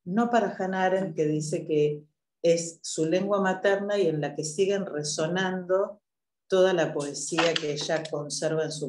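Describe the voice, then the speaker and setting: A woman moderating a public event, speaking in a measured way with hesitant filler pauses. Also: lowish voice, darkish tone, a little hiss or hum. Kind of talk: introductory talk at a public event. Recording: echoey room.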